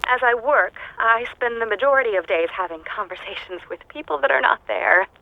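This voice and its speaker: A woman's voice: fairly high pitch, fairly quick, vocal fry. An actress voicing a lonely, unhinged woman scientist who is recording audio logs alone on a hostile planet. She speaks in a dry, clipped, detached way that drifts into manic glee. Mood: rambling. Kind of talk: game audio log monologue. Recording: dull lo-fi game audio log.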